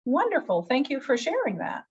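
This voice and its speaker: A woman's voice: high-pitched, huge pitch swings, normal speed. A woman hosting a webinar over a video call, talking in a chatty, enthusiastic and self-deprecating way. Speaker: webinar host. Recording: dull lo-fi video call audio.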